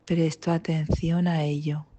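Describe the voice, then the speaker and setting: A woman with an accent from Spain leading a guided meditation, calm and gentle, with long pauses between short instructions. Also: deep voice. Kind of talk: guided mindfulness body scan meditation.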